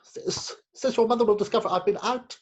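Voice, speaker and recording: A man's voice: high voice, fairly even intonation, a little fast, dull audio. A man playing a fussy, dithering middle-aged British magistrate and indulgent stepfather in a stage farce. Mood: alarmed, scandalised. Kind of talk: theatrical staged reading of Victorian farce. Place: home, recorded remotely.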